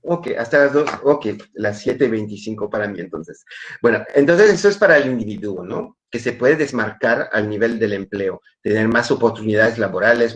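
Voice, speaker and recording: A man whose first language is French gives a talk over a video call. His voice sits at a middling pitch, and his delivery is halting, with frequent restarts and repeated words. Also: muffled recording. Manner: hesitant, many repeated words. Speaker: webinar speaker. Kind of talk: webinar talk.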